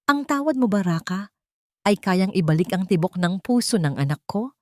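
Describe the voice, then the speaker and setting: A woman's voice: medium pitch, very animated, normal speed, dry recording, studio quality, close to an everyday voice. A woman with a Filipino accent playing a bitter, grieving poor mother, reproachful and emphatic, in an audiobook drama.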